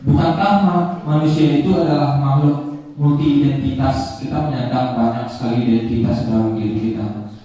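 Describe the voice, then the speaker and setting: A man's voice: mid-range voice, fairly even intonation, moderate pace, mellow. Young man's question echoing in a hall. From West Sumatra, Indonesia. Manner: rambling, searching for words. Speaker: audience questioner. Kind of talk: asking a question at a public discussion. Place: large echoey hall. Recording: echoey hall, distant mic, faint background noise.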